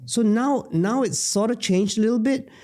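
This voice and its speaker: A man's voice: high-pitched, normal speed, dark tone. A man from Singapore, hosting a casual podcast chat with rambling, joking asides. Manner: chatty, rambling, jokey asides. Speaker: podcast host. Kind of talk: casual conversation.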